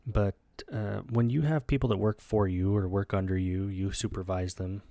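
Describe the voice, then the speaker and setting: A low, gravelly man whose first language is Spanish, talking in a dry, close-miked way. He co-hosts a podcast, rambles loosely and drops sarcastic asides. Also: fairly even intonation, normal speed, mellow, no echo. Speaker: podcast co-host. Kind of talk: casual chat.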